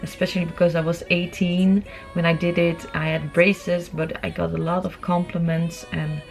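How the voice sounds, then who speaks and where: A woman's voice: fairly low pitch, little pitch movement, moderate pace, gravelly, faint music behind. A young adult woman, chatting informally as she answers viewer questions in a casual vlog. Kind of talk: casual Q&A chat to camera. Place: room at home.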